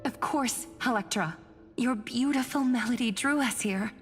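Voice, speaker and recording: High-voiced, stately sea siren. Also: female voice, normal speed, slightly rough. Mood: welcoming. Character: composed, reassuring female sea siren of a royal court. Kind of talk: theatrical scripted game voice acting. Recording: long reverb over music.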